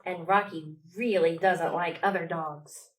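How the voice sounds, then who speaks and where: A woman's voice: on the low side, steady tone, a little slow, recorded from a distance. A woman hosting storytime as a children's librarian, reading aloud and talking to small children in simple short phrases.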